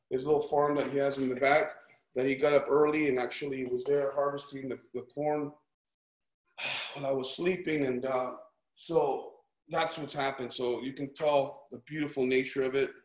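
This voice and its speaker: A man hosting a casual cooking class, chatty and encouraging, often checking in with his listeners as he demonstrates. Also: fairly high pitch. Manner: upbeat, encouraging, chatty with frequent check-ins like "right?" and "okay?". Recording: echoey room, background noise.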